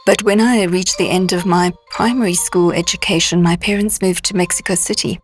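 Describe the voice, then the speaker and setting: A South African woman speaks clearly and matter-of-factly at a middle pitch. Faint music plays behind her. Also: steady tone, fairly quick. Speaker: interviewee.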